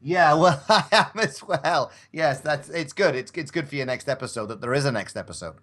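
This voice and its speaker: A man's voice: on the high side, animated, brisk, fairly bright tone. A man from Scotland, chatting casually as a podcast guest in a bantering, rambling way. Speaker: podcast guest, audio drama actor. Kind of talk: casual chat.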